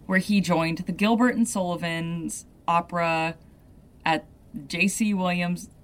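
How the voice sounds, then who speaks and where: A young woman with a deep, low voice hosts a podcast and tells a story casually. She talks loosely, dropping "like" into her sentences as she goes. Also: steady tone, unhurried. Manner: chatty, loose, lots of "like" asides. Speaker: podcast host.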